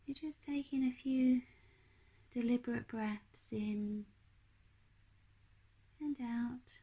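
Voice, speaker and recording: A woman leading a guided meditation as a teacher, speaking in a gentle, unhurried, hushed manner. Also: a little high, slightly rough, dull audio.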